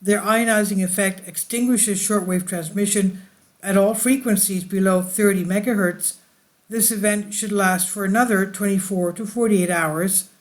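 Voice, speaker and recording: A host reading space weather news aloud in a halting way, stumbling over some words. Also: medium pitch. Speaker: video channel host.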